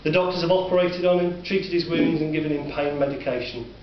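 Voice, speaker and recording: A man with a Northern English accent narrating a dark story in a measured, sombre way. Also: on the high side, fairly even intonation, a crowd in the background. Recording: distant, roomy, dull recording with faint hiss.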